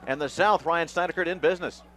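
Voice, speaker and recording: A man's voice: on the high side, quick pace, crowd noise. A man doing live radio football play-by-play in clipped phrases, with emphatic calls when the action builds. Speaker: play-by-play announcer.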